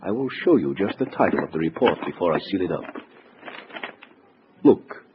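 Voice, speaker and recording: An actor playing a suave, cautious communist spy courier, formal and precise, in a 1950s radio drama. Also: male voice, low-pitched, sing-song, normal speed. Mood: quietly triumphant. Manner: formal, precise, self-assured. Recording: muffled noisy old radio recording.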